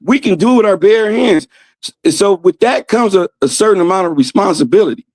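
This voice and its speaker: Man, 50s, guest, earnest, agreeable, high voice, dry close sound. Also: lively intonation, brisk, a little mellow, no room sound. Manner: earnest, agreeable, backs the host. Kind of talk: casual chat.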